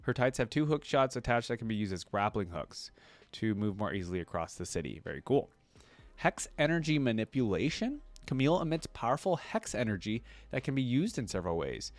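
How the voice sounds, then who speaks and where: A man doing casual reaction commentary, reading aloud and breaking off to think out loud and check in with listeners. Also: mid-range voice, very animated, fairly quick, no echo. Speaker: video creator reacting to game character lore.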